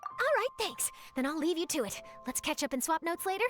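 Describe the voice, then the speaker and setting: A woman playing an eager, curious young journalist in a video game, with a friendly, animated and upbeat manner. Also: extremely high pitch, fairly quick, faint music behind, exaggerated voice. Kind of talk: video game cutscene voice acting.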